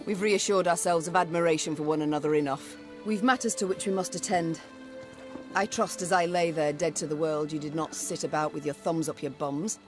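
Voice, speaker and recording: A woman's voice: sits low, fairly even intonation, a little fast, lightly acted. An actress playing a proud, blunt warrior queen in a video game, speaking in a formal, commanding way. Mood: dry, commanding. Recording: music behind the voice.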